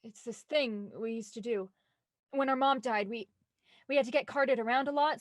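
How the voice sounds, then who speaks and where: A woman's voice: a little high, no room sound, clean studio sound, close to an everyday voice. An actress playing a determined, impatient woman with an urgent, insistent manner in an audio drama. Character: Felicity, determined, impatient woman desperate to find her brother.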